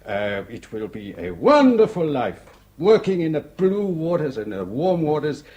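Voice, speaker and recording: A man's voice: fairly high pitch, measured pace, highs cut off. An actor playing a charming, flirtatious Frenchman in a radio drama, speaking English with a French accent and laughing often. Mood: dreamy, amused. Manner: laughing, teasing, flattering. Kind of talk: 1960s radio drama acting.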